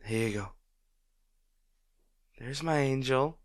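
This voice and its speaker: A man playing a gentle, protective boyfriend in an intimate roleplay audio, speaking in a tender, reassuring way. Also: medium pitch, slow, no echo, studio quality, lightly acted. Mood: tender, soothing. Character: gentle, protective boyfriend comforting a distraught partner.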